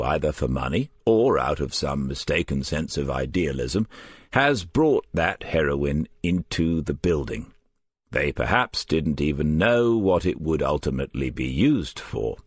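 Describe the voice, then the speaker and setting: A man's voice: a bass voice, slightly rough, no echo, heavily acted. A man playing a shabby, canny police detective sergeant in an audiobook, questioning in a frank, coaxing way. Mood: musing, coaxing.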